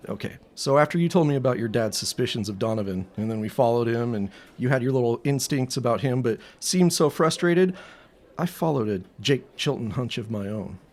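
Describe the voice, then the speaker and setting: An actor playing an earnest teenage boy sleuth in a period audio drama, secretive and boyish, with a husky voice. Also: medium pitch, expressive, a little fast, darkish tone, close to an everyday voice. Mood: conspiratorial.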